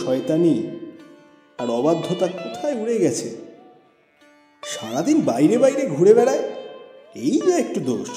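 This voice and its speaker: A man narrating a literary story as an audiobook, with expressive, theatrical delivery. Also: a little high. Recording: echoey reverberant voice over music.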